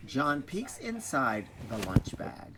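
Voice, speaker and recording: A man's voice: a little high, wildly swooping pitch, slow, noisy background. A man reading out stage directions as scripted narration at a staged play reading.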